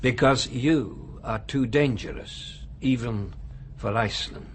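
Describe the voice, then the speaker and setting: An actor playing an urbane, cynical man of high authority in a radio drama, lecturing in a measured, condescending way. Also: a little hiss or hum, lightly acted. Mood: quietly menacing. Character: male world ruler, urbane, coolly cynical, menacing authority.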